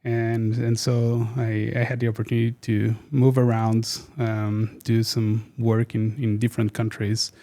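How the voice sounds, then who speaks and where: A man's voice: medium pitch, warm tone. A man in his thirties from Portugal, a guest speaking casually and hesitantly with many fillers and restarts. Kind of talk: casual interview chat.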